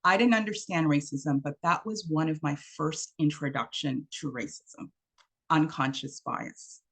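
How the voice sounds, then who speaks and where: A woman in her fifties, originally from Trinidad, leads an online workshop. Her voice sits a little low with a gravelly edge. She tells stories and acts out what people said, heard through a video call. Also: a little slow. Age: 50s. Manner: warm storytelling, acts out quotes. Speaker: workshop speaker.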